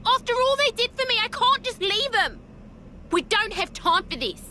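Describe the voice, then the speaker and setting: A woman's voice: very high voice, big pitch swings, normal speed, bright, forceful tone, slight background noise, heavily acted. A woman playing a hot-headed, defiant young woman in a video game cutscene, brash and insolent. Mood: impassioned.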